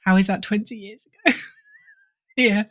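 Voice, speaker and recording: A woman's voice: medium pitch, steady tone, a little slow, fairly bright tone. A middle-aged woman with a British accent, chatting casually as an interview guest and often rephrasing mid-sentence. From United Kingdom. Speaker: podcast guest, fiction editor.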